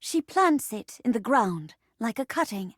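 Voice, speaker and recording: A woman voicing a playful storyteller in a video game, narrating a fantasy play with grand, deliberate drama. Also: a little high, very animated, no room sound, studio quality. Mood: grandly dramatic.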